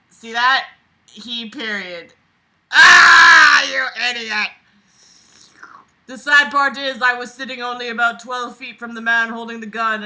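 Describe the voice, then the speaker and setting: Bright, sharp, sarcastic woman reading aloud. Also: fairly high pitch, low-quality recording. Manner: sarcastic, exasperated, foul-mouthed. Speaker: online book reader and commentator.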